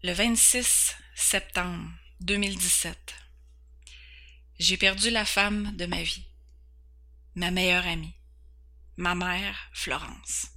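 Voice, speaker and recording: Bright-voiced Québécois woman host. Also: lowish voice, steady tone, slow, pauses often. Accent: Québécois French. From Quebec, Canada. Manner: earnest, candid, occasionally stumbling over words. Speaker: podcast host. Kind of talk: personal introduction.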